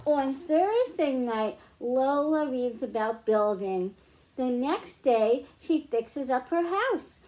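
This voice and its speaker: A middle-aged woman reading picture books aloud to young children, explaining slowly and clearly at home. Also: high voice, animated, mellow. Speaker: children's librarian. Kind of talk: storytime read-aloud for kids.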